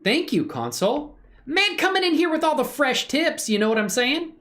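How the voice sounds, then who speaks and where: A man's voice: very high-pitched, big pitch swings, moderate pace, fairly bright tone. A man hosting a casual livestream, chatting with viewers in a laid-back, slangy, appreciative way and thinking aloud as he works. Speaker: livestream host showing 3D animation work. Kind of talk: casual chat with live viewers.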